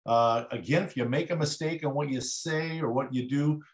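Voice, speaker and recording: A man hosting a casual real estate livestream, coaching agents in an encouraging way and often chiming in with quick agreement. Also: medium pitch, reverberant. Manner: encouraging, lots of affirming "yep"s. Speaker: livestream host, real estate broker support.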